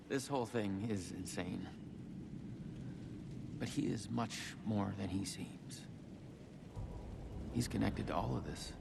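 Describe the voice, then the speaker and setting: A haunted, determined man in a video game. His voice is fairly low with a gravelly creak, musing and intense, naturalistic in delivery. Ambient noise is clearly heard behind him. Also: heavily acted. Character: haunted, determined man chasing an elusive figure.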